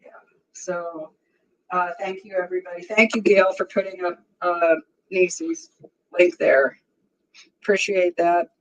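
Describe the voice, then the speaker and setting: A woman's voice: fairly low pitch, steady tone, unhurried, long pauses, dull audio. A woman in her sixties with a strong voice, hosting a casual livestream and chatting easily with her viewers, often laughing. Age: 60s. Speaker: livestream host.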